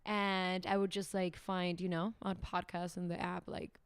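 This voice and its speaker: A woman's voice: fairly low pitch, level tone, normal speed, smooth, no room sound. A young adult woman with a Spanish accent, chatting as a podcast guest, often restarting her phrases as she searches for English words. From Ceuta, Spain. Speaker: guest actress. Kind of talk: casual podcast chat.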